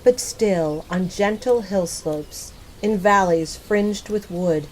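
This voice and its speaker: A woman reciting poetry in a measured, lyrical and expressive manner. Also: mid-range voice, a little hiss or hum, rough recording. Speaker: poetry reader.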